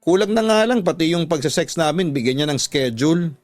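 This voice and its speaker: A man's voice: on the high side, expressive, brisk, no room sound, faint music behind, natural voice. Man voicing a restless Filipina girlfriend, complaining. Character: restless, dissatisfied young woman confiding in her friend.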